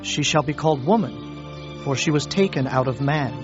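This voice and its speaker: A man's voice: medium pitch, moderate pace, close to an everyday voice. A man playing the first man in a dramatized audio Bible, declaiming with tender wonder. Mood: awed, tender. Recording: dull lo-fi audio, clear music behind.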